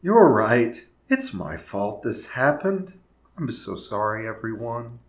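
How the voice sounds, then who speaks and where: A man acts a sheepish middle-aged divorced father in a cartoon audio drama. He talks slowly and hesitantly, apologetic in manner, in a roomy echo. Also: mid-range voice, big pitch swings. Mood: remorseful.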